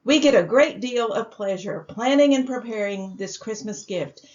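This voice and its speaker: A woman announces for an old radio sponsor with gracious formality. Her voice is slightly high, and the recording is dull. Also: normal speed, a little mellow. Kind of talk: scripted vintage radio announcement.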